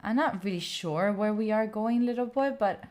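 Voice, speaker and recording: A woman's voice: medium pitch, normal speed, a little mellow. A young woman with an Italian accent, a streamer chatting to her viewers while playing a game and cheering on her character. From Italy. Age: young adult. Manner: chatty, talks to chat, cheers on her character with sudden squeals. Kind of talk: live gameplay stream chat.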